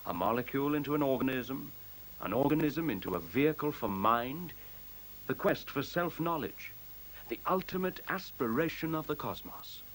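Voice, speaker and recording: An actor playing a newborn machine intelligence, solemn and oratorical, in a science fiction radio play. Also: male voice, medium pitch, expressive, moderate pace, poor audio. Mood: solemn, exalted. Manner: grandiose, oratorical, prophetic. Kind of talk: 1970s radio drama acting.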